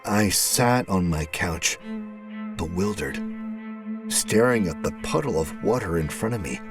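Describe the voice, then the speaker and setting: Male narrator, first-person horror: deep voice, unhurried, dramatic pauses, music underneath. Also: expressive. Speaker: storyteller, narrator.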